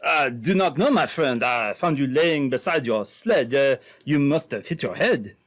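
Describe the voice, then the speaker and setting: A sly Frenchman of the frontier, played by a man, with a swooping, polite delivery. It is old radio villainy at middle pitch. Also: expressive, a little slow, close to an everyday voice. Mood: feigned concern. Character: sly French trapper villain feigning helpfulness. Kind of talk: old-time radio drama acting.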